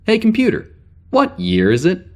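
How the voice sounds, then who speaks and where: A man's voice: high-pitched, huge pitch swings, normal speed, soft background music, heavily acted. A man playing a weary, wry spaceship security officer recording a log in an audio drama, rambling casually and hesitantly. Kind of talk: audio drama spoken log entry, naturalistic.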